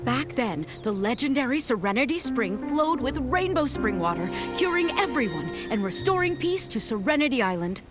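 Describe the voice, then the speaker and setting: A fussy, proud old creature who runs a village in a video game. The voice is high and swings widely, full of theatrical bluster, with clear music playing behind it. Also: female voice, very animated, moderate pace, few pauses. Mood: storytelling. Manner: theatrical, blustering, stammers when evasive. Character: elderly plush village head, proud, fussy former scrubbing champion. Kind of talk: video game character acting.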